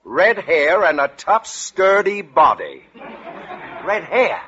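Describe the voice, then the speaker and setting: A man's voice: fairly high pitch, huge pitch swings, a bit bright, husky, a faint crowd, exaggerated voice. An American man in an old radio comedy, playing an irascible, pompous middle-aged school principal who blusters and barks. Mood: blustering, indignant. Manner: pompous, blustering, quick to bark. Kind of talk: 1940s-50s American radio comedy acting. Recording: dull old radio broadcast recording.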